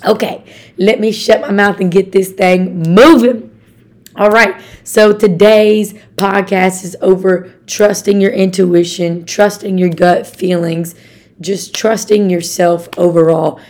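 A young woman from Arkansas with a raspy voice, hosting a casual motivational podcast in an upbeat, chatty way and talking straight to her listeners. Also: mid-range voice, fairly even intonation, takes it slowly, a little mellow. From Arkansas, USA. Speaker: podcast host.

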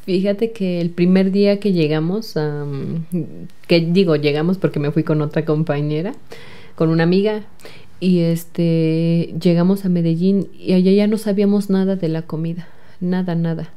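A woman's voice: fairly low pitch, fairly even intonation, normal speed, mellow, clear, resonant tone. A young woman with a Mexican accent answering interview questions in a chatty way, full of fillers and repeated words. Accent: Mexican Spanish. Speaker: guest, recent engineering graduate.

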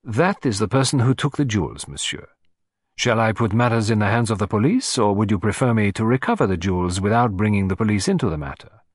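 A shrewd little foreign detective, played by a male narrator, courteous and precise with a playful, teasing streak. The pitch is very lively and the tone slightly husky, in a storybook reading. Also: a little fast, dry recording, studio quality. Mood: polite, firm. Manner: polite, precise, teasing, with French phrases.

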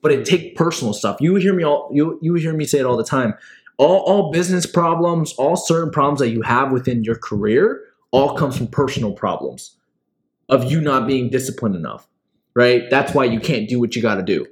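A young business owner hosting a podcast, talking casually and earnestly, with frequent swearing and motivational advice. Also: male voice, medium pitch, animated, fairly quick. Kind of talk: casual chat.

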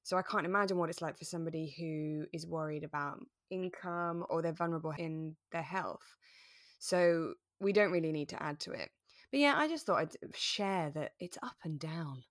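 A woman's voice: low voice, normal speed, no echo, studio quality. A woman co-hosting a podcast, casually recapping and discussing a radio drama. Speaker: podcast co-host.

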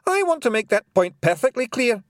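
A man's voice: extremely high pitch, sing-song, moderate pace, no echo, studio quality, pitched above the performer's own voice. A man voicing a small, fierce, boastful Pictsie warrior chief with a Scottish accent. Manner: blustering, emphatic.

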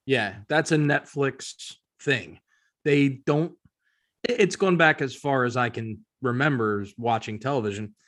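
A man's voice: mid-range voice, unhurried, fairly bright tone. A man co-hosting a podcast, talking casually and offering his opinion. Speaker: podcast co-host.

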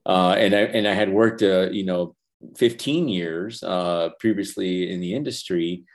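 An older man whose first language is Spanish, talking earnestly in a casual interview, halting with many restarts and fillers. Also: sits low. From Los Angeles. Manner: earnest, reflective, faith-centered. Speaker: animation professor and former feature animator, guest.

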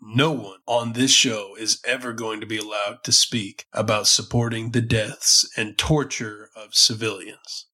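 Hoarse, nasal man hosting. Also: medium pitch, bright, forceful tone. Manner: earnest, emphatic, lecturing. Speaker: podcast host. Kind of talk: scripted political podcast monologue.

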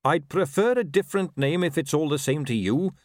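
An earnest, good-natured young man, acted by a male narrator in a storybook reading. He speaks politely and straightforwardly, pitched up with lively movement. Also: brisk, dry recording, clean studio sound, lightly acted. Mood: polite, firm.

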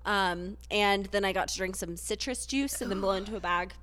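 A woman's voice: medium pitch, moderate pace, fairly bright tone, slight background noise. Chatty young woman podcast co-host. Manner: chatty, storytelling with lots of likes and ums. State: sick, congested. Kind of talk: casual chat.

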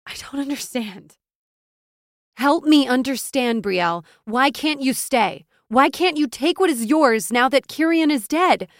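A woman's voice: medium pitch, moderate pace, no echo, studio quality, natural voice. A woman voicing a loyal, stubborn young woman in a fantasy audiobook, insistent and impassioned. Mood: pleading. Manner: insistent, impassioned, gaining momentum. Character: fierce, stubborn, loyal young woman, pleading best friend.

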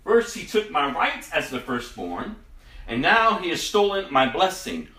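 Esau, a man putting on a loud begging son. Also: big pitch swings, unhurried, reverberant, far from the mic, heavily acted, poor audio. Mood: bitter. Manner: loud, high, begging cries. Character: desperate grown man, pleading firstborn son.

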